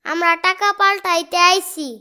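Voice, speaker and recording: Cartoon casting: cheeky, stubborn small boy, Bangladeshi accent, extremely high and sharp voice. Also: steady tone, normal speed, exaggerated voice. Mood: insistent. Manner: bold, argumentative, insistent.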